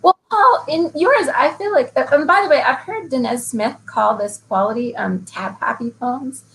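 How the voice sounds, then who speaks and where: A woman's voice: sits high, moderate pace, slight background noise, poor audio. A woman interviewing a fellow poet, thinking aloud, riffing and asking thoughtful questions. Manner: thoughtful, riffing, warmly admiring. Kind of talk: conversational interview.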